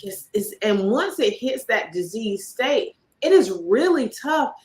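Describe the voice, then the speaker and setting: A woman hosting a casual interview chat, animated and repeating phrases for emphasis. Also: fairly high pitch, measured pace. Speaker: podcast host.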